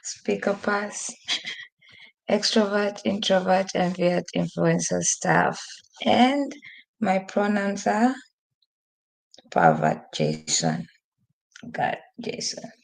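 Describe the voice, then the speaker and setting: Woman host, gravelly voice, losing her voice, slow unhurried chat, faint background hiss. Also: mid-range voice, big pitch swings, poor audio. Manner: chatty, rambling, laughs often. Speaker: podcast host. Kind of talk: casual live-stream chat. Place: newly moved-into home, desk by a window. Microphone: computer microphone.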